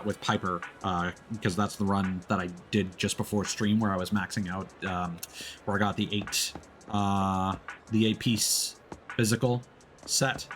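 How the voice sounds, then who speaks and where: A man giving casual, off-the-cuff commentary as a livestreamer, thinking aloud in a halting way. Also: low-pitched, fairly bright tone, a little hiss or hum. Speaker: livestreamer, gamer. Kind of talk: casual gaming stream commentary.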